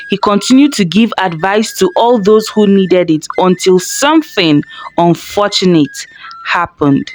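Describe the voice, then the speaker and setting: Female narrator for children's folktales: lively, swooping delivery, dramatic phrasing, faint noise behind. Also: mid-range voice. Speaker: storyteller.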